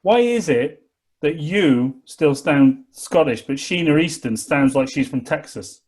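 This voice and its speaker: A man co-hosts a casual podcast, ribbing his co-host and guest in a playful, lively way. His voice sits a bit higher than most men's. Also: a little slow, husky. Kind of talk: casual chat.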